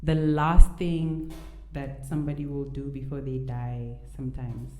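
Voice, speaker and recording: A woman's voice: very deep voice, flat delivery, a little slow, warm tone, faint music behind. A woman from Namibia with a mixed Nigerian and Namibian accent, speaking as a podcast guest in a candid, encouraging, emphatic way. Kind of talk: casual conversation. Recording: echoey room.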